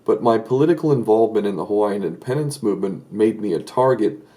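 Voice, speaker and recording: Middle-aged man, mellow tone, earnest commentator who stumbles and restarts often. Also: fairly low pitch, fast talker. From Southern California. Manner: earnest, hesitant with many fillers and restarts. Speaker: political commentator. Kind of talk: solo video commentary.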